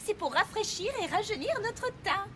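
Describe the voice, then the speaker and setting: A woman's voice: very high-pitched, animated, moderate pace, a bit bright, slight background noise. A woman voicing a prim, fussy young mare pony in a French cartoon dub, with an affected, theatrical manner. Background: cartoon music score.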